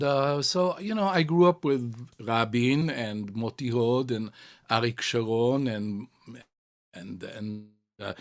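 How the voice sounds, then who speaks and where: An older man who grew up in Israel, answering interview questions in a reflective, halting way with many fillers and restarts. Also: medium pitch. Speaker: cantor, interviewee.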